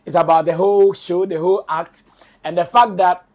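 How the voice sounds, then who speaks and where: A man with a Ghanaian accent hosting a studio talk show, hoarse-voiced, steering the discussion and laughing easily. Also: high-pitched, fairly even intonation, moderate pace, a little mellow. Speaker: show host.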